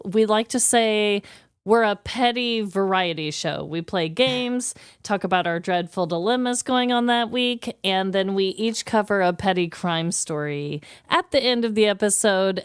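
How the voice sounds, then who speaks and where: Smooth, clear American woman co-host. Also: medium pitch, no room sound, studio quality. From Midwestern United States. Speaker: podcast co-host. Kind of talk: casual podcast chat.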